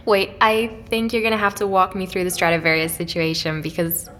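A woman's voice: fairly low pitch, brisk, a bit bright, a little hiss or hum, lightly acted. A woman playing a curious, candid amateur investigator, asking questions informally in an audio drama. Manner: inquisitive, informal. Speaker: actor playing interviewer. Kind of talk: naturalistic audio drama dialogue.

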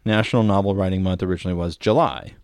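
A man's voice: deep voice, fairly even intonation, very fast, darkish tone, dry recording, studio quality. A man co-hosting a podcast in casual comedic talk, explaining things in a wry, opinionated way. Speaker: podcast co-host.

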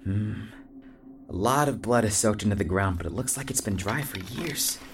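A man's voice: fairly low pitch, normal speed, background noise, natural voice. A man playing a sardonic, roguish young adventurer with an offhand manner in an audio drama. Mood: grim, quiet. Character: wry, roguish young male lockpicking adventurer.

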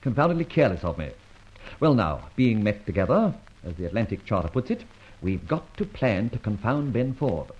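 An actor playing a debonair, self-assured gentleman rogue in a breezy, urbane manner, as in an old radio adventure serial. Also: lowish voice, sing-song, normal speed, husky. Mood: brisk, assured. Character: suave, debonair gentleman adventurer, secretly a roguish thief. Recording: dull, lo-fi old radio recording.

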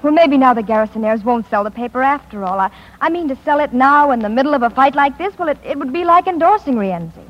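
An actress playing a candid, gently firm young ex-wife, earnest and a little hesitant, in a 1950s radio drama. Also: female voice, sits high, expressive, quick pace, few pauses. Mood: teasing, attentive. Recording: dull, lo-fi 1950s radio broadcast.

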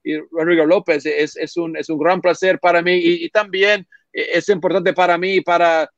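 A man, a native English speaker, talking as a podcast guest in a second language, slow to find his words with many restarts and fillers. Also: a little high, flat delivery, no echo. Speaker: podcast guest, baseball reporter. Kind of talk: casual interview talk in a second language. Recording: dull, dry remote audio.

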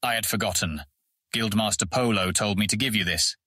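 Stern fantasy audiobook prison guard: low, hard-edged voice, formal and commanding, close dry sound. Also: male voice, a little fast, pushed and bright, no room sound. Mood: grave, commanding. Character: stern armed prison guard, grave, not unkind.

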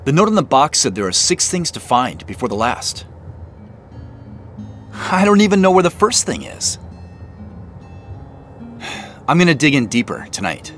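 An actor playing an excited younger brother on a treasure hunt, in naturalistic audio drama dialogue. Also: male voice, medium pitch, measured pace, pauses often, soft background music. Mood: musing, eager. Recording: faint vehicle noise behind. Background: car driving.

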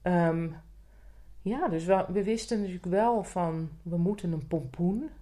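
A woman's voice: on the low side, measured pace, dark tone. A woman co-hosting a podcast, chatting casually in an inquisitive, conversational way. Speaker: podcast co-host. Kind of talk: casual chat.

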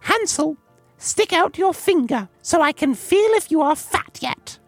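A man's voice: extremely high pitch, lively intonation, measured pace, no echo, faint music behind, voice pushed up, heavily acted. A man voicing a cruel, greedy, impatient old witch woman who scolds and gives orders in a storybook tale. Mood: gloating. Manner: scolding, commanding.

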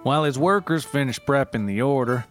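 Man, medium pitch, scripted narration, documentary parody, deadpan, measured storyteller, guitar music behind. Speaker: narrator, writer reading own story. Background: music with plucked guitar.

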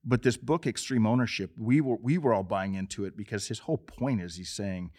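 A man's voice: lowish voice, a little fast, a little mellow. A man in his fifties hosting an interview, recapping and affirming his guest's points before asking for more. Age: 50s. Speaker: podcast host. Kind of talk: casual interview.

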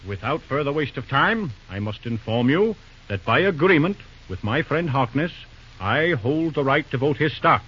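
An actor playing a pompous, scheming railroad shareholder who blusters indignantly in an old-time radio drama. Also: male voice, mid-range voice, expressive, moderate pace, a bit bright, husky. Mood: pompous, insistent. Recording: muffled, fuzzy old radio recording.

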